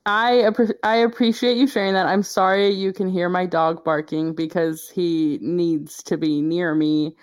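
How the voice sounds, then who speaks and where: A woman's voice: fairly low pitch, steady tone, unhurried, hardly any pauses, no echo, clean studio sound. A woman hosting an interview from home, affirming and encouraging her guest. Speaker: podcast host. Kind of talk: casual interview chat. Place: home booth.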